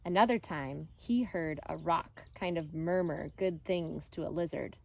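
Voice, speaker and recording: Slow-paced woman reading a children's book. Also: low-pitched, creaky. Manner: deliberate, expressive storytelling with pauses. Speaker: elementary teacher reading aloud. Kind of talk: children's picture book read-aloud.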